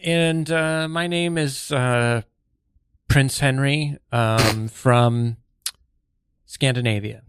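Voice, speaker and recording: A man's voice: medium pitch, steady tone, extremely slow, a bit bright, smooth. A man improvising a polite, awkward prince who chairs a student meeting, hesitant and accommodating. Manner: hesitant, filler-heavy, accommodating.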